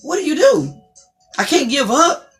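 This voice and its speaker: A woman with a deep, distinctive voice hosts an inspirational talk show. Her delivery is preachy and encouraging, and she repeats phrases like a speaker building to a point. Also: wildly swooping pitch, moderate pace, fairly bright tone, soft background music.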